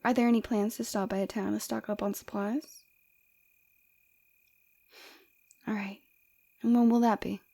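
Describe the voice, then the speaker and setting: A woman playing a flirtatious, forward rebel villainess with a secretly caring side, in a soft, teasing, intimate ASMR roleplay. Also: medium pitch, flat delivery, a little fast, vocal fry. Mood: confiding, teasing.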